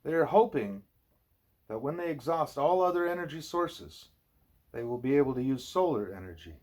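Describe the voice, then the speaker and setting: A man reading a scripted spiritual dialogue as an audiobook narrator, with emphatic, deliberate phrasing. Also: mid-range voice, animated, darkish tone. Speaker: audiobook reader.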